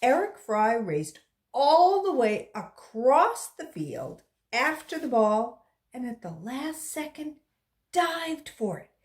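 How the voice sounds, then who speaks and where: A woman reading a children's storybook aloud with deliberate, expressive phrasing and brief asides to the listeners. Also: high-pitched. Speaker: volunteer children's story reader.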